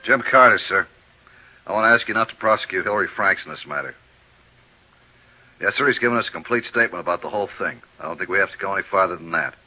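A man's voice: low-pitched, lively intonation, quick pace. An actor in a 1950s radio drama playing an earnest, forgiving company man with a plain-spoken, deferential manner. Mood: respectful, earnest. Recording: muffled 1950s radio recording.

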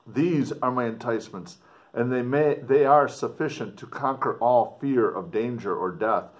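A middle-aged man, a teacher, reading a novel aloud to students with casual asides, expressive and declamatory when reading. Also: medium pitch. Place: indoors in front of a dark board.

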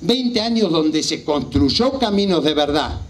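A man's voice: fairly high pitch, lively intonation, unhurried. A man in his fifties with a Rioplatense Argentine accent delivers an impassioned political speech, emphatic and repeating phrases for effect. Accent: Rioplatense Argentine Spanish. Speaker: local party politician. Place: small outdoor rally stage at night. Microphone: handheld microphone.

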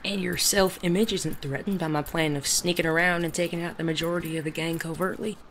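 Woman as a suave, creaky-voiced gentleman bandit. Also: lowish voice, steady tone, brisk, a little hiss or hum. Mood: wry storytelling. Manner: articulate, persuasive. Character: suave, sardonic gentleman bandit of the Wild West. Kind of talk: western audio drama.

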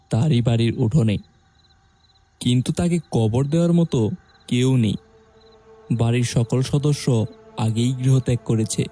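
A young man narrating a horror story in a steady, measured way. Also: mid-range voice.

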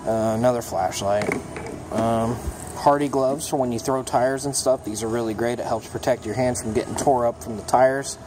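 A young mechanic casually showing his toolbox, talking in a relaxed, plainspoken way with plenty of ums and uhs. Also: mid-range voice. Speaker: mechanic giving a toolbox tour. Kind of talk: casual show-and-tell vlog. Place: auto repair shop, indoors. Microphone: phone held in hand, filming first-person. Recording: shop noise with air conditioning hum.

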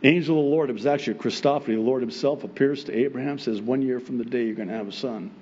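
A middle-aged man whose first language is Arabic, a pastor preaching a sermon from a pulpit microphone on a church stage, animated and chuckling often as he acts out God's replies. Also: mid-range voice, very fast, slightly rough, dull audio. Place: church stage with wood pulpit and plants, indoors.

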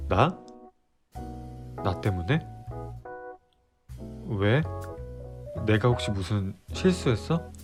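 A man playing an earnest, pleading boyfriend. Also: on the low side. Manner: pleading softly, then ranting in a rush. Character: earnest young boyfriend, clumsy with words. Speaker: boyfriend in a role-play drama. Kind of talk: listener-directed role-play drama.

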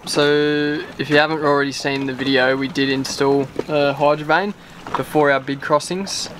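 A man in his thirties hosting a casual sailboat tour, explaining things in a relaxed way with plenty of fillers, recorded outdoors on deck. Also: on the high side, normal speed, a little hiss or hum, low-quality recording. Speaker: sailing vlog host. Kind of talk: casual boat tour walkthrough.

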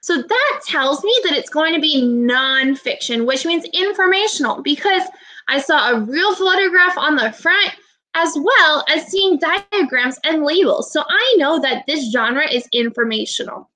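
Woman, 20s, primary teacher on webcam. High-pitched, forceful delivery, clear instructions and encouragement aimed at young pupils. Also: lively intonation, a little slow, poor audio. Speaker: elementary school teacher. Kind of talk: children's read-aloud video lesson, instructional. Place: small indoor room at home. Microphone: webcam or laptop microphone, video call recording.

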